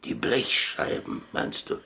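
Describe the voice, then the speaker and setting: An actor playing a gruff, stubborn, wry German ex-boxer who talks in dry colloquial remarks in a radio play. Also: male voice, slow pace, pushed and bright.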